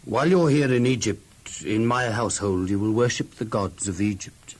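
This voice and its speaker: A man's voice: on the low side, moderate pace, natural voice. A man playing a stern but reasonable Egyptian captain, questioning with authority in an audio Bible drama. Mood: commanding, curious.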